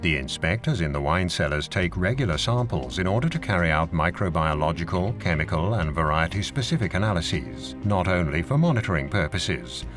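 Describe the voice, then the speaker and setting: A man reading scripted narration for an educational film in a measured, formal and informative manner. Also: low-pitched, lively intonation. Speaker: documentary narrator. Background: music behind the voice.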